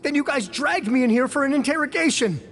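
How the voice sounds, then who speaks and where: Desperate game prisoner, high voice, agitated, insistently pleading innocence. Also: female voice, lively intonation, quick pace, slight background noise.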